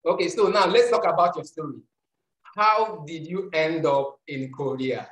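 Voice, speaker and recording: A man's voice: a little high, moderate pace, a bit bright, echoey room, rough recording. A Nigerian man hosting an interview in casual chat, with many hesitant fillers and restarts. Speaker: host.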